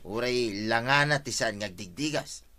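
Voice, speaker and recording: Easygoing neighbourhood husband, Ilocano accent, bright forceful voice, radio comedy. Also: male voice, medium pitch, measured pace. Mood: casual.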